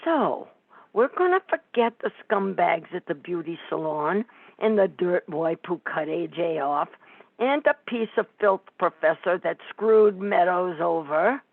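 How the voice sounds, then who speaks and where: A woman's voice: on the low side, expressive, a little slow, gravelly, no room sound, exaggerated voice. A woman playing a sarcastic, nagging, middle-aged mobster's wife and mother in an amateur comic radio play, heard through a tinny recording. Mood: conciliatory.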